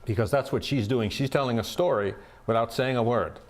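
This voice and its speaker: A man's voice: mid-range voice, fairly quick. An American man, a stage actor, speaking thoughtfully in an interview and repeating words as he starts his sentences. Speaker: actor in a community theater play. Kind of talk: casual interview chat.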